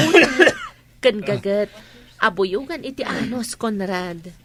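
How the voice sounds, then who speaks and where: An actress playing a composed, encouraging village doctor in an Ilocano radio drama. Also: female voice, mid-range voice, very animated, slow, fairly bright tone, a little hiss or hum, heavily acted.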